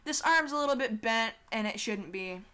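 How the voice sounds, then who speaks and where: Bright chatty teenage girl rambling. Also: on the high side, animated, normal speed, smooth. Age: teens. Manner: chatty, swears casually, self-deprecating asides. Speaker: artist streaming a drawing session. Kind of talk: rambling casual chat while drawing.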